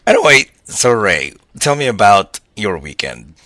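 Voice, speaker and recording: Hoarse low-voiced man co-hosting a podcast. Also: sing-song, bright, forceful tone. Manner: laughs often, halting with fillers. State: hoarse, losing his voice. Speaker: podcast co-host. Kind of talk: casual chat.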